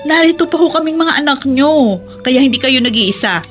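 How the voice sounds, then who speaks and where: Worried adult daughter, fairly high voice, earnest, gently scolding, radio drama, music underneath. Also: female voice, lively intonation, moderate pace. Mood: earnest pleading. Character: caring, worried adult daughter of a widowed father. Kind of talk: Filipino radio drama acting.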